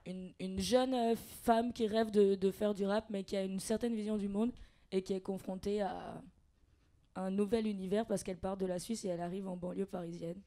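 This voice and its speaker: A woman's voice: mid-range voice, steady tone, moderate pace, warm tone. A woman from Switzerland, a guest on a podcast, explaining things earnestly in casual chat with many fillers. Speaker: guest, freestyle rapper and actress.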